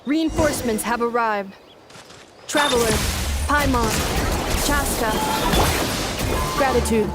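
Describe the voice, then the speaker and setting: A young female battle automaton in a video game. She speaks in formal, clipped announcements with a rather high voice. Music plays clearly behind her. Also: slow, long pauses. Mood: flat, resolute. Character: young female combat robot, formal and emotionless. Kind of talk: animated video game acting.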